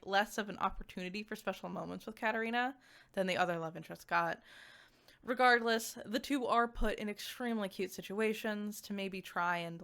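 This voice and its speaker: A woman giving a casual, conversational review to viewers, animated and peppered with "like" asides. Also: medium pitch, clear, resonant tone. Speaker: visual novel reviewer.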